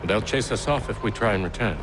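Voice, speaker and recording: Low-voiced, blunt henchman, a male actor. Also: fairly quick, background noise, lightly acted. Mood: blunt, questioning. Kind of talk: video game cutscene acting.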